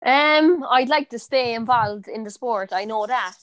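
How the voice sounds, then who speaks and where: A woman in her twenties with a strong Cork accent, answering interview questions in a relaxed way with plenty of fillers. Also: fairly high pitch, unhurried, dry recording. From Ireland. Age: 20s. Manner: relaxed, many fillers and restarts. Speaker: interviewee, footballer. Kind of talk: casual interview.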